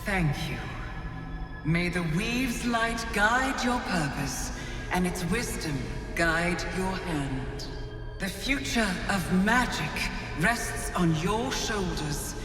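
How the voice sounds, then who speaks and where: An actress playing a solemn, regal goddess of magic in a video game, speaking in measured blessings. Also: female voice, on the low side, animated, a bit bright, soft background music. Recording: echoey, distant, long reverb.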